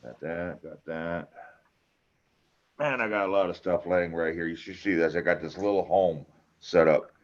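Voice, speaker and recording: Deep-voiced folksy trucker man. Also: normal speed, dull audio. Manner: folksy, rambling, joking. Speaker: trucker streaming a live chat with friends. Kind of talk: casual chat.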